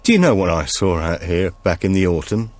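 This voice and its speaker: A man playing a nervous male petty thief in an audiobook, evasive, halting and repeating his words. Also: low voice, lively intonation, a little mellow.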